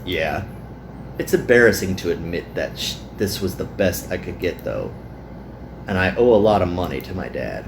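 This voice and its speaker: Guy reading as young worker dude from a visual novel, weary n hesitant, lowish voice, swings around, bit noisy. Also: sing-song, normal speed, vocal fry, heavily acted. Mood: earnest, embarrassed. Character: young, downtrodden, self-deprecating male worker in a visual novel.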